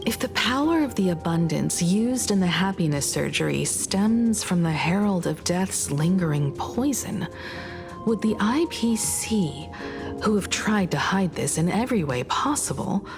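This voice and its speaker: A woman playing a poised, gentle, scholarly young woman in a video game, speaking in a measured, articulate, reflective way. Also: mid-range voice, animated, over music. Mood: thoughtful, analytical. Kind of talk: video game story dialogue.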